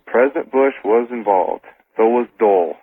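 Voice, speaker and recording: A man giving an informal first-hand account as a guest on a radio interview, halting and repeating phrases, over a telephone. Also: mid-range voice, breathy edge. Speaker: interview guest, former military intelligence serviceman. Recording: thin phone line on old radio.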